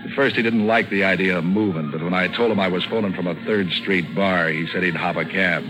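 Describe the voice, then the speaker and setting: An actor in a 1940s radio drama playing a cynical, wisecracking troubleshooter, narrating and talking in deadpan slang. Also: male voice, deep voice, fairly quick, music in the background, lightly acted, poor audio. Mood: wry, weary. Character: cynical, wisecracking hard-boiled male private troubleshooter, narrating. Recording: vintage 1940s radio recording.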